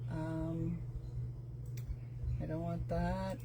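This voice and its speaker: A woman hosting a live craft stream speaks in an unhurried, drawn-out way. She chats casually with her viewers and a guest, stopping often on small fillers. The sound comes through a computer mic on a live video call. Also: sits low, little pitch movement, background noise. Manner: chatty, reads out viewers' locations, halting with fillers.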